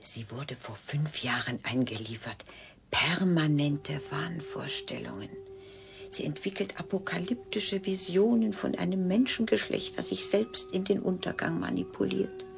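A woman's voice: fairly low pitch, expressive, measured pace, gravelly, over music. A woman playing a cool, sardonic clinic doctor in a German radio drama, speaking in a clipped, dry, condescending way. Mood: measured, grave.

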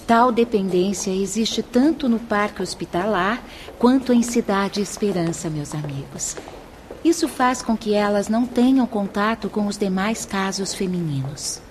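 Actress as female spirit guide, middle pitch, composed, explanatory, radio drama, long reverb. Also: measured pace, lightly acted. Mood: calm, explanatory. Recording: reverberant, faint background ambience noise.

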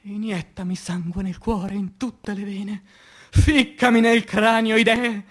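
A man playing an anguished, passionate revolutionary poet in a declamatory radio theatre recitation, with dramatic pauses and swings between hushed and loud delivery. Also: high voice, fairly even intonation, heavily acted. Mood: desperate pleading. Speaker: stage actor.

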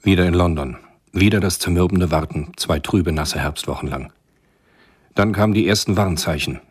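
A man narrating a radio drama from a script in a measured, sober storytelling manner. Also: sits low, husky, dry recording, clean studio sound.

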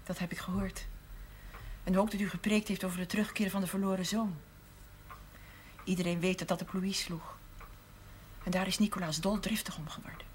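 An actress playing an earnest farmer's wife who now keeps a shop, confiding and at times near a whisper, in a 1970s TV drama. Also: female voice, medium pitch, steady tone, brisk, pauses often, a little hiss or hum, close to an everyday voice. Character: earnest farmer's wife turned shopkeeper, middle-aged woman. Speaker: shopkeeper's wife.

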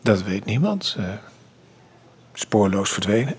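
A man's voice: lowish voice, big pitch swings, measured pace, slightly rough, heavily acted. A man playing a weary, learned middle-aged father in a radio drama, with a hesitant, explanatory way of talking. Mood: puzzled.

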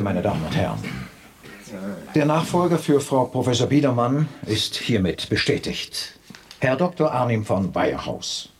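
A man's voice: lowish voice, slight background noise, lightly acted. A man playing a pompous, moralizing company director in a radio drama, speaking in formal, lofty phrases.

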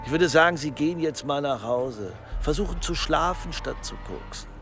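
A man's voice: a little high, expressive, moderate pace, a bit bright, faint music behind. An actor playing a crooked, menacing male police detective in a radio play, smug and mocking. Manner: sneering, smug.

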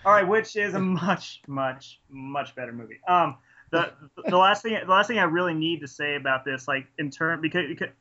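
A man's voice: a little high, lively intonation, normal speed, sharp tone. A man casually reviewing a film in conversation, enthusiastic and rambling, often restarting his sentences. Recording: dull lo-fi podcast audio.

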